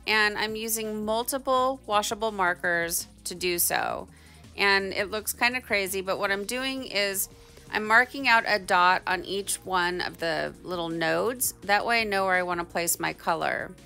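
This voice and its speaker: A woman's voice: medium pitch, lively intonation, normal speed, creaky, pushed and bright, faint music behind. A woman giving a chatty, informal instructional voice-over as a craft tutorial host. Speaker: tie-dye tutorial host.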